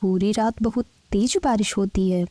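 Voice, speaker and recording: A woman's voice: mid-range voice, steady tone, normal speed, mellow, a little hiss or hum. A woman narrating a scripted bedtime story.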